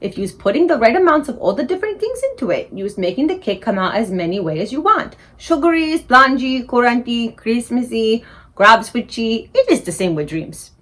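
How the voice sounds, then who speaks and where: A woman voicing a big, gentle old male giant who mangles his grammar and invents words. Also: on the high side, big pitch swings, normal speed. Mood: explaining. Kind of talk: audiobook character reading.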